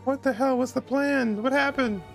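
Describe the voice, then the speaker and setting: A man's voice: extremely high pitch, fairly even intonation, normal speed, vocal fry, fairly bright tone, faint music behind. A young man streaming casual game reactions in short, muttered exclamations. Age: young adult. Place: indoor room.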